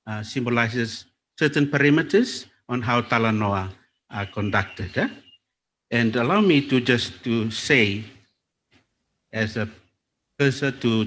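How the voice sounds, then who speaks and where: A man from Fiji facilitating a panel discussion at a lectern, speaking in a measured, deliberate way with small restarts. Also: medium pitch, a bit bright, slight background noise. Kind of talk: formal event moderation. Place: conference hall. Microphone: lectern microphone.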